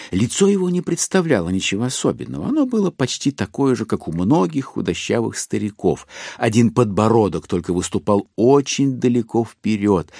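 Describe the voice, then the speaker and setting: A man narrating a literary audio drama in an expressive, theatrical storytelling manner. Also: fairly low pitch, husky, no echo, studio quality.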